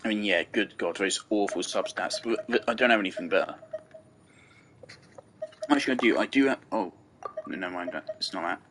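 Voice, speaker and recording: A man's voice: fairly low pitch, a little fast, long pauses, noisy background. A man giving casual gameplay commentary, rambling and thinking aloud as he goes. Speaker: game streamer.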